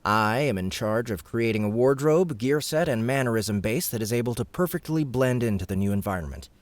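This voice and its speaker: A male narrator voicing an eager, formal naval petty officer who talks in a brisk, proper, slightly flustered way. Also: medium pitch, lively intonation, no echo, pitched above the performer's own voice, natural voice. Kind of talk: audiobook character voice.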